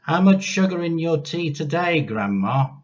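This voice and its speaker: A man's voice: on the high side, very animated, a little slow, lo-fi sound, pitched above the performer's own voice. A man voicing a polite, obedient small boy in a children's storybook, giving dutiful, put-upon replies.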